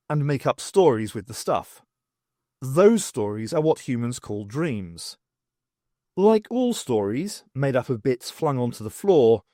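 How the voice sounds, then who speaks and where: A man reading a science fiction novel aloud as scripted, expressive audiobook narration. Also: a little high, no echo, studio quality. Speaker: audiobook reader.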